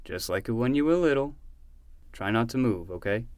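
Podcast drama uncle: caring, exasperated homeowner, lively swings in pitch, very dry close recording male actor. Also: normal speed, no room sound, close to an everyday voice. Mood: soothing. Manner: soothing, plain-spoken, protective. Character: protective, gentle uncle, exasperated but reassuring homeowner. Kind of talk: fiction podcast acting.

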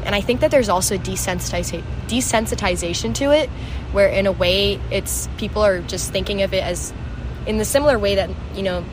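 A woman's voice: lowish voice, background noise. A young woman from Belgium, a journalist guest, speaking thoughtfully in casual conversation with many fillers. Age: young adult.

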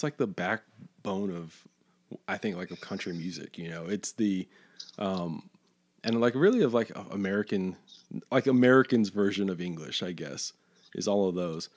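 Man, very low voice, podcast host, hesitant, rambling, casual. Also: expressive, normal speed, a little mellow. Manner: hesitant, many fillers and restarts, rambling questions. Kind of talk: casual interview chat.

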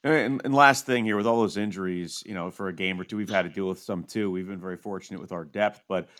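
A man's voice: deep voice, fast talker, a bit bright, dry recording. A man hosting a casual sports interview as the interviewer. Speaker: sports podcast host, interviewer.

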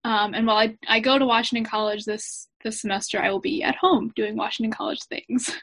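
A woman of about twenty from Pennsylvania, speaking as a student guest on a panel. She talks thoughtfully and fills her pauses with ums and uhs. Her voice sits a little high. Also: steady tone, a bit bright. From Pennsylvania, USA. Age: around 20. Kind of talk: discussion on a panel.

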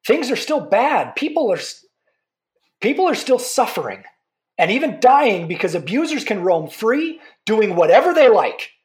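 Fervent campaigner, insistent and rhetorical, big melodic swings, audio drama debate. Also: female voice, a little high, sing-song, moderate pace, a little mellow. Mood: urgent, insistent. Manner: emphatic, repetitive, rhetorical. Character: impassioned political campaigner for safety, zealous and insistent. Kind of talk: fiction podcast drama, talk show debate.

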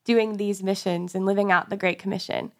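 A woman's voice: mid-range voice, fairly even intonation, brisk. A woman interviewing a pastor, answering him with short, affirming words of praise. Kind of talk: interview on a call.

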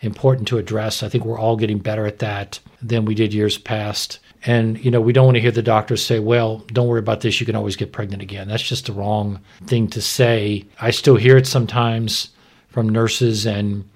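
A middle-aged American man, a doctor, explaining medical matters informally in a frank, measured way. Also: lowish voice, little pitch movement, darkish tone. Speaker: maternal fetal medicine doctor, podcast expert.

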